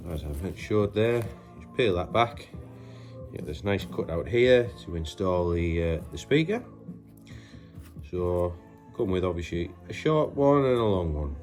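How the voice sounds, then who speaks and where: A man with a very deep voice talks through a hands-on installation job. He is casual and matter-of-fact, pausing and hesitating as he works, with no script. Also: darkish tone. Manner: matter-of-fact, hesitant, talking while working with his hands. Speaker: presenter of a DIY installation tutorial. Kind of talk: casual unscripted how-to demonstration.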